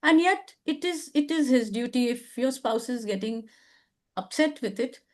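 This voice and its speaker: Older woman, Indian English accent, talk show host, musing, filler-heavy, fairly high voice. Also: lively intonation, normal speed, darkish tone. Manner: thoughtful, hedging, many fillers. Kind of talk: casual advice discussion.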